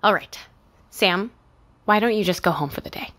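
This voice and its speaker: A woman's voice: medium pitch, normal speed, fairly bright tone, slight background noise, close to an everyday voice. A woman playing a weary, stressed shop boss in a naturalistic audio drama, clipped and sighing. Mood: resigned.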